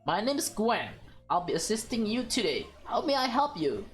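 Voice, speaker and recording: A woman's voice: medium pitch, very animated, moderate pace, faint music behind, natural voice. A woman voicing a friendly female shop assistant in a visual novel, polite and eager to help.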